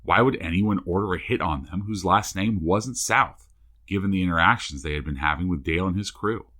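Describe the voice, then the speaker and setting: A man's voice: sits low, brisk, sharp tone. A man playing a serious, inquisitive true-crime podcast host who narrates and questions persistently. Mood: probing.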